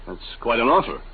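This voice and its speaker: A man's voice: mid-range voice, slightly rough, heavily acted. An actor playing a skeptical, blustery middle-aged businessman and husband, grumbling in colloquial speech in a 1950s radio drama. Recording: narrow, noisy old 1950s radio broadcast.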